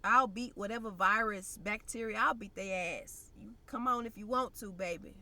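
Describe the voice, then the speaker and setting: A woman's voice: mid-range voice, normal speed, bright, forceful tone. A young woman vlogging in a blunt, slangy, conversational way, sounding congested and nasal. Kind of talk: casual direct-to-camera chat.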